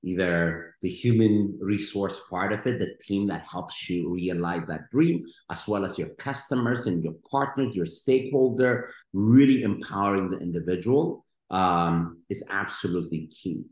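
A man's voice: low-pitched, normal speed, hardly any pauses. A middle-aged man from Ethiopia, a guest entrepreneur answering energetically on a video call. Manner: energetic, gesturing with his hands. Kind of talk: webinar panel answer. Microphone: video call webcam. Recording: roomy webcam call.

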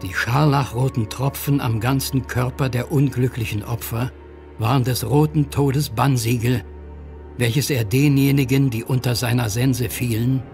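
Ernster Erzähler, deutliche Musik dahinter. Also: male voice, mid-range voice, steady tone, creaky, a little mellow. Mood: grave. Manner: solemn, measured. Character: grave male storyteller of a gothic horror tale. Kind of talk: scripted narration. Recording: music behind.

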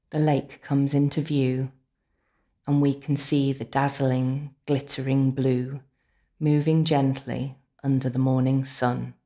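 Female guide for relaxation narration: low register, very slow, soothing delivery with measured pauses. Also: dark tone. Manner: unhurried, soothing, deliberate pauses. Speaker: complementary therapist leading guided relaxation. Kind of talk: guided visualisation, scripted narration.

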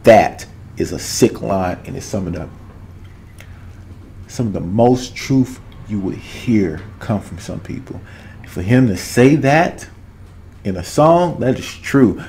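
Male reaction host, 30s, Southern US accent. Fairly deep voice, casual and conversational, leans on repetition to make points. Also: animated, takes it slowly, mellow, slight background noise. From Arkansas, United States. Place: small home room with cloth-draped backdrop. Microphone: desk condenser microphone, headphones on.

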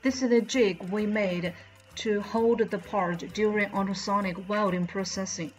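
A woman's voice: medium pitch, steady tone, a little slow, faint music behind. A woman in her thirties with a Chinese accent, presenting a factory walkthrough in careful, slightly non-native English. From China. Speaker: channel host, mold company representative. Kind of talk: scripted factory walkthrough narration. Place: indoors, plain bright backdrop. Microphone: front-facing camera, medium close framing.

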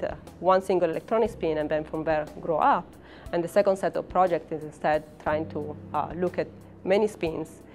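A woman's voice: sits low, a little mellow. A woman in her thirties with an Italian accent, a professor speaking hesitantly in an interview about her work. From Italy. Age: 30s. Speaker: professor and research scientist.

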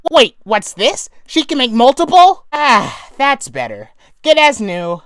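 A man voicing a haughty, excitable cartoon squid villain in a boastful, theatrical manner. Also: extremely high pitch, unhurried, clear, resonant tone, no room sound, clean studio sound, full character voice. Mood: alarmed.

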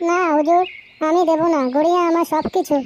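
A performer voicing a stubborn, pleading young village boy in an animated cartoon story. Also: very high voice, fairly quick. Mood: defiant pleading.

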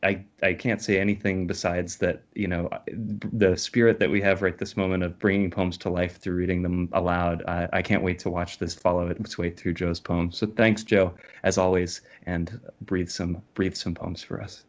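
A man's voice: low voice, level tone, normal speed, hardly any pauses. A man informally hosting a poetry reading over a video call from home.

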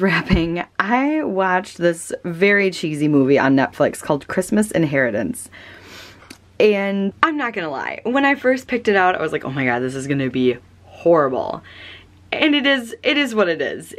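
A woman's voice: lowish voice, very animated, moderate pace. A young woman vlogging casually at home, chatty with many ums and restarts, tired and fighting off a cold. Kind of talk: casual chat. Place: home, small room. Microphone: camera close up.

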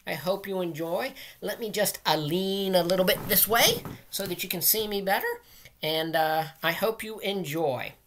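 A man's voice: high voice, moderate pace, fairly bright tone, a little hiss or hum. A middle-aged man speaking simply and directly to young listeners, as a teacher does in a casual read-aloud. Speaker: teacher reading aloud to children. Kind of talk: casual read-aloud of nursery rhymes for children. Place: small room at home, indoors.

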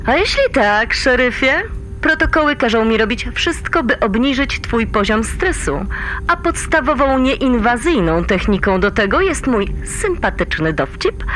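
A woman's voice: a little high, a bit bright, rough recording. Female mission handler, chatty, teasing, playful, sci-fi radio play, music behind. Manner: playful, flirtatious banter. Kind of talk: sci-fi audio drama acting.

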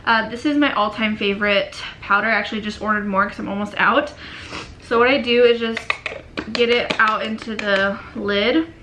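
A young woman in her twenties with a cold, chatting casually through a makeup tutorial in a dim bedroom, often trailing off into quiet murmurs. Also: mid-range voice, steady tone, moderate pace, slight background noise. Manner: chatty, filler-heavy, drifts into quiet murmurs while working. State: sick and sniffly. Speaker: beauty vlogger.

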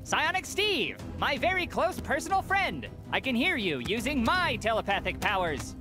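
A woman's voice: on the high side, sing-song, normal speed, music in the background. A woman playing an earnest school-kid superhero in a cartoon game, melodramatic and heroic. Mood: earnest bravado.

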